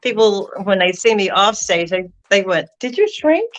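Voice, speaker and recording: Older lady singer chatting, lively storyteller, normal pitch. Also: moderate pace, dull audio. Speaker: guest singer. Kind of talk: casual interview chat.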